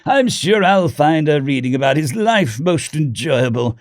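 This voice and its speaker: A man's voice: a little high, big pitch swings, unhurried, dry recording, clean studio sound. A man voicing a pompous, bumbling, jolly space hamster diplomat with a posh upper-class British accent. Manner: pompous, effusive, chuckling, muddled.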